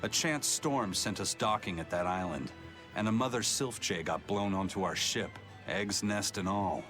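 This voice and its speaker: A steady, serious pirate played by a man with a lowish, gruff voice. He speaks in a measured, proud way, as in anime game dialogue, with music clearly behind. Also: lightly acted. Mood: wry storytelling. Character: stern, steady, proud male pirate first mate. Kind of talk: anime-style video game acting.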